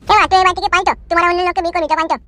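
Cheeky, high-pitched cartoon baby boy. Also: flat delivery, very fast, dry recording. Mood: defiant. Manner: insolent, answers back. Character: cheeky foul-mouthed newborn boy talking like an adult. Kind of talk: comedy cartoon dialogue. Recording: loud music under the voice.

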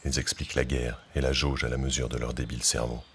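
Extremely deep, husky male reader. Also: level tone, quick pace, a bit bright. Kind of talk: solemn reading.

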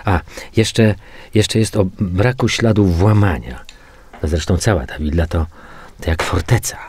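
An actor playing a matter-of-fact, weary male police investigator in a radio drama, muttering and repeating himself haltingly. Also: low voice, darkish tone, husky, full character voice. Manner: halting, muttering repetitions while reading out reports. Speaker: actor in an audio crime drama. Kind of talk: naturalistic radio drama dialogue.

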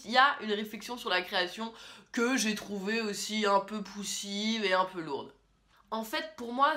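A young woman talking casually and with strong opinions as she reviews films into a camera in a small room, often pausing on fillers. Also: mid-range voice, bright, forceful tone. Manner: chatty, opinionated, with frequent fillers. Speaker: film critic host. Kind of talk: casual film review vlog.

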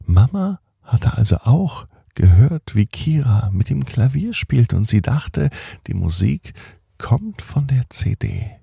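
A man narrating a children's bedtime story on a podcast in a slow, soothing manner that sinks to a whisper at the end. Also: medium pitch, sing-song, creaky, dark tone, breathy edge, no echo, studio quality.

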